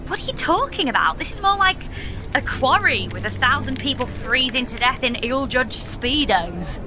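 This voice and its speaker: Casting: actress as sardonic female astronaut, high register, lively swings, incredulous and dry, audio comedy. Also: moderate pace, music in the background. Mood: exasperated incredulity. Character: exasperated, sardonic young woman space traveller. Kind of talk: sci-fi audio comedy acting.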